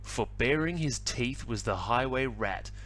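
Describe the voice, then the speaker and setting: A male teacher reading a picture book aloud to children, animated and adding little asides. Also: medium pitch. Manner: animated, slow storytelling with asides.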